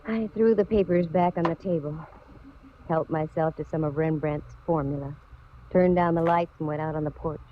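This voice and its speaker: A woman's voice: deep voice, fairly even intonation, normal speed, close to an everyday voice. A hard-boiled female private eye of 1940s radio, played by an actress, wisecracking and sure of herself. The old recording is muffled, with faint noise under the voice. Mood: wry narrating. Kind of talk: 1940s radio detective acting, narration and dialogue.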